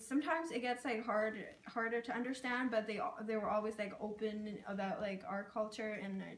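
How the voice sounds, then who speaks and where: A young woman whose first language is Karen tells her stories in a chatty, casual way, full of "like" and "um". Her voice sits a little high. She is recorded from a distance in a roomy space. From Burma, raised in Thailand. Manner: chatty, with many "like" and "um" fillers. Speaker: interviewee sharing her experience. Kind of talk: casual personal storytelling.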